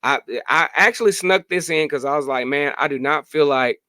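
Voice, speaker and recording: A hoarse man in his late thirties hosting a casual sports podcast live stream, chatting freely and answering viewer comments. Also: on the high side, moderate pace, pushed and bright, dry recording, studio quality. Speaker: podcast host.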